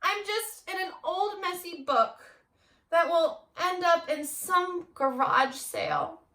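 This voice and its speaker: A woman voicing an exasperated little storybook boy in a read-aloud, theatrical and exclaiming with drawn-out dismay. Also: extremely high pitch, sharp tone, echoey room, voice pushed up, exaggerated voice. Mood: defeated, sulky. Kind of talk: animated picture-book read-aloud.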